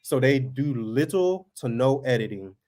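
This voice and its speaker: Laid back guy vlogging, kinda monotone, average pitch, folksy chat with viewers. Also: a little slow. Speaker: family farm vlogger, host. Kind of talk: casual vlog chat.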